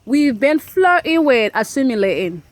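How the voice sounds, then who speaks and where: A woman's voice: sits high, big pitch swings, normal speed, no echo. A woman with a Liberian accent hosting a discussion interview, speaking earnestly and murmuring agreement often. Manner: talks earnestly, with many affirming murmurs. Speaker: host.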